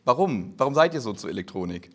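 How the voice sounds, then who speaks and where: A man judging contestants on a comedy game show, questioning them in an unhurried, deliberate way. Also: mid-range voice, big pitch swings, a bit bright. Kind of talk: unscripted game show banter.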